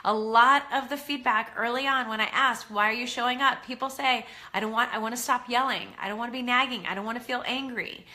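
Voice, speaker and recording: A middle-aged woman with a bright, slightly high voice, stuffed up and nasal from a head cold. She talks casually to viewers of a live stream, warm-hearted in content but loose, with frequent ums. It sounds like a webcam or phone mic. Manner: chatty and encouraging, many ums. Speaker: parenting coach hosting a live online class.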